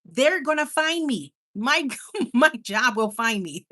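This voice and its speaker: A woman's voice: sits high, slow. A young woman with a Caribbean Spanish accent, hosting a casual late-night livestream from home and chatting with viewers in a bubbly, talkative way. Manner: chatty, bubbly, squeals with excitement, coos to her dog. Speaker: livestream host. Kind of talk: casual live chat reading viewer comments.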